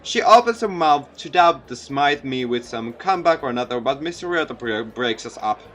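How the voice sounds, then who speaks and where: A man's voice: medium pitch, animated, normal speed, fairly bright tone, faint music behind. A man reading a visual novel aloud as a let's play, sometimes stumbling over lines and correcting himself. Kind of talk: let's play read-aloud narration with asides.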